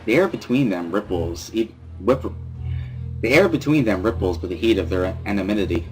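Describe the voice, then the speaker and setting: A man reading first-person story narration from a visual novel as a dramatic reading, with a husky voice. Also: fairly low pitch, animated, normal speed, darkish tone, faint music behind.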